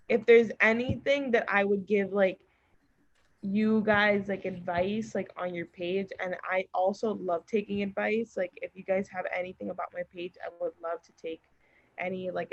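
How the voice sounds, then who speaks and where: A woman's voice: medium pitch, steady tone, moderate pace, lo-fi sound. A woman chatting casually and earnestly as an encouraging podcast guest. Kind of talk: casual chat. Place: remote group call.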